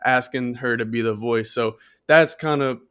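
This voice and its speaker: A man co-hosting a podcast in casual discussion, thoughtful and measured as he reasons things through. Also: medium pitch, a bit bright, clear, resonant tone. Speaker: podcast co-host.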